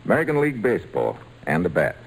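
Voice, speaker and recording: A man playing a big-hearted baseball slugger, gruff but kind, in old-time radio drama style with folksy, plain speech. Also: sits low, huge pitch swings, quick pace, heavily acted, rough recording. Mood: kindly, encouraging.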